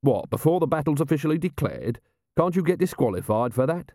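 A man voicing a gruff, sardonic middle-aged watch commander in an audiobook. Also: on the high side, very animated, fairly quick, dark tone, no room sound, clean studio sound, pitched above the performer's own voice. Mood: wry. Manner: blunt, sardonic.

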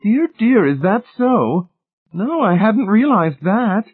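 A man's voice: fairly high pitch, sing-song, normal speed, slightly rough, phone-quality sound, pitched above the performer's own voice. A man voicing a prim, sanctimonious, fussy older woman in an audiobook, with genteel fluttery manners. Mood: surprised. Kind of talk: audiobook character voice.

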